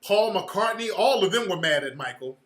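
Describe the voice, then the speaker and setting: Bright, emphatic, profane male storyteller. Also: high-pitched, animated, moderate pace, reverberant. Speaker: commentator. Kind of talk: casual commentary.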